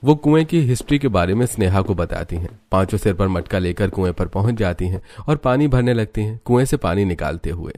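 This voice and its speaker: A man's voice: lowish voice, lively intonation, a little fast, hardly any pauses, mellow, no room sound, studio quality. A man reading scripted narration for a cartoon village story.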